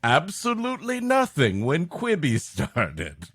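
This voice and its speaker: A man's voice: fairly high pitch, wildly swooping pitch, takes it slowly, fairly bright tone, husky, no echo, studio quality. A man co-hosting a comedy show, riffing casually with wry, mock-serious asides. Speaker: podcast co-host. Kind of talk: casual comic banter.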